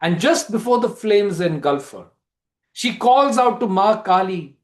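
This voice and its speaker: A man telling a story to a group in a theatrical way, with deliberate pauses. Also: high-pitched, lively intonation. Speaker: storyteller giving a dramatic reading. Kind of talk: dramatic storytelling to a book club.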